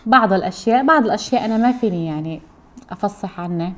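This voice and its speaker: A woman's voice: mid-range voice, animated, rapid-fire. A woman from Aleppo with a Syrian accent, a mother chatting casually and explaining things at length to her viewers. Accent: Aleppo Syrian Arabic. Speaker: mother and cooking vlogger.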